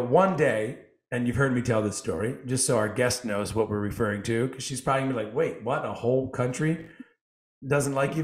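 A man hosting a podcast, full of playful, self-mocking banter and showman-like flourishes. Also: mid-range voice. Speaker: podcast host. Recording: roomy echoey sound.

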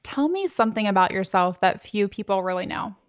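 A middle-aged woman hosting a podcast, chatting in a relaxed way and asking open questions. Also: mid-range voice, brisk, lo-fi sound. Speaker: podcast host. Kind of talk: conversational interview.

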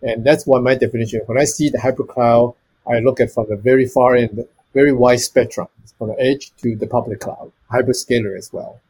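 A man's voice: medium pitch, fairly even intonation, a little fast, few pauses, a little mellow, slightly rough, low-quality recording. A man in his fifties from Taiwan, a storage technology executive answering interview questions earnestly and listing many examples.

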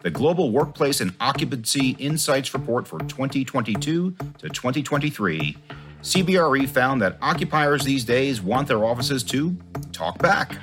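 A man's voice: mid-range voice, moderate pace, music in the background. A man hosting a podcast, reading a polished scripted intro and then asking interview questions. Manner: polished, expressive delivery. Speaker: podcast host.

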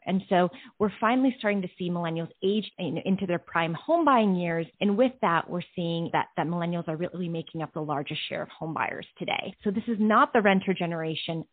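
Woman economist guest from Albania, articulate and steady, lowish voice, phone-line sound. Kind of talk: interview answers. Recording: dry, phone-like band.

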